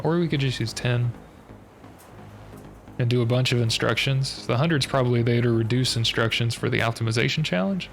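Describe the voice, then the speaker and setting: A young man with a stuffed-up, slightly nasal voice thinks aloud through a puzzle, hesitant and muttering as he works it out step by step. His voice has a gravelly, fry-laced edge at a middling pitch. Game music plays clearly behind him. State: stuffy nose, a bit nasal. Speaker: streamer.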